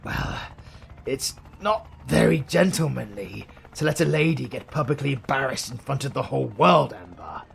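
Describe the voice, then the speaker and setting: A man acts out a British comic-book supervillain with a highly animated, gravelly voice. He is theatrical and menacing, yet easily exasperated and secretly smitten with his heroine rival, in a romantic comedy audio drama. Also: moderate pace. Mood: pained, tender.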